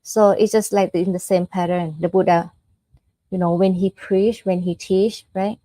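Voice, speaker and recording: A woman's voice: on the low side, steady tone, measured pace, warm tone, dry recording, poor audio. A woman from Thailand with a Thai accent giving an informal dharma talk as a Buddhist nun, explaining step by step in a conversational way with check-in questions.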